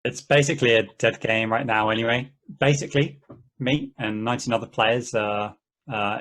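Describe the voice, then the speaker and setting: A man playing an easygoing game player in an amateur table read, talking in a halting, conversational way. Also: medium pitch, a bit bright, lightly acted. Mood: casual, explaining. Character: easygoing, rambling male player of an endless treasure-hunt game.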